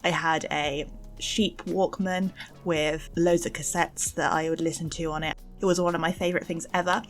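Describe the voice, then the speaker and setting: A young woman giving a casual, chatty craft voice-over for an art channel, informal and gently self-deprecating. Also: on the low side, flat delivery, normal speed. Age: young adult. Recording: music behind the voice. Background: faint background music.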